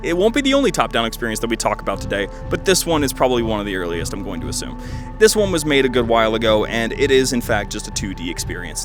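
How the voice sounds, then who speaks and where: Male game video host, twenties, casual and rambling, enthusiastic, lower-pitched middle register, clear music behind him. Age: 20s. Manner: rambling, enthusiastic, jokey asides. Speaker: video host reviewing fan games. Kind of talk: casual commentary.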